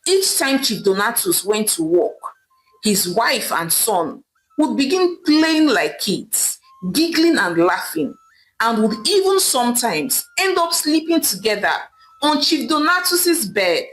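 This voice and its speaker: Woman, Nigerian accent, scripted story narration, lively varied intonation. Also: a little high, a little slow, a little hiss or hum, rough recording.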